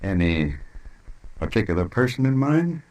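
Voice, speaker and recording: A man's voice: on the low side, very animated, normal speed, darkish tone. A man playing a cautious, fussy small-town banker in an old-time radio western, hesitant and formally courteous. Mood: guarded.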